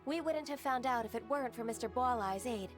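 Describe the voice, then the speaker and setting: A woman voicing a composed, formal female secretary in a video game, polite and measured, over game music. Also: fairly high pitch, natural voice.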